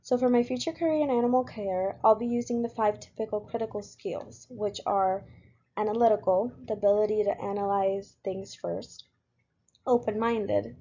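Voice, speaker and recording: Young woman student from Puerto Rico, warm husky voice, earnest presenter, lo-fi recording. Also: medium pitch. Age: young adult. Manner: steady, earnest delivery with occasional fillers. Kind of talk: reading a class presentation.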